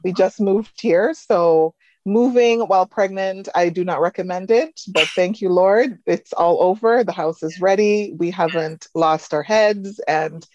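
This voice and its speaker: A pregnant woman in her late thirties, from Jamaica, chatting upbeat as an interview guest. Her voice sits a bit low and sounds dull and close, like a remote call. There is almost no room sound. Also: measured pace, darkish tone, no echo. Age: 30s. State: heavily pregnant. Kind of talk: casual interview chat.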